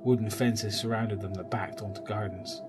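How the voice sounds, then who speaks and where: A man's voice: fairly low pitch, steady tone, moderate pace, over music. A man narrating a scripted horror audio drama.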